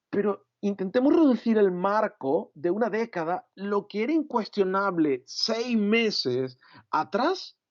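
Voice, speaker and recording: A Venezuelan man preaching a sermon in a reflective way, often pausing with "eh" and adding "¿no?" at the end of his phrases. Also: sits high, lo-fi sound. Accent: Venezuelan Spanish. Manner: reflective, frequent "eh" and "¿no?" tags. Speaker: pastor.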